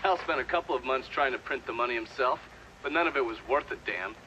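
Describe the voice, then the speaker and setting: An actor playing a shrewd, sardonic intelligence operative in a video game, dry and matter-of-fact. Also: male voice, mid-range voice, quick pace, highs cut off, background noise, lightly acted. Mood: matter-of-fact recounting. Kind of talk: cinematic game dialogue, part recounted testimony.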